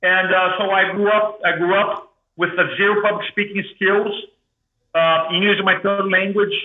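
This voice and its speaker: A man from Brazil with a thick Brazilian Portuguese accent, telling his story as an interview guest in an energetic, restarting way. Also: high voice, normal speed. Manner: energetic, repeats and restarts words. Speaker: guest, public speaking coach. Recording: echoey room over phone-like line.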